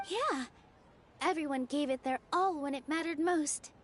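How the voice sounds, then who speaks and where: A woman's voice: high-pitched, unhurried, fairly bright tone, a little hiss or hum. A woman playing a cheerful, encouraging young woman in a video game, sweet, upbeat and earnest. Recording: game music under the voice.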